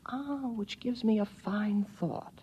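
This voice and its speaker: An actor playing a sardonic, weary young space officer stranded alone, rambling wryly in a mid-century radio drama. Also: male voice, high voice, slow, warm tone, muffled recording, slight background noise. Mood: sardonic, musing. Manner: wry, rambling, musing.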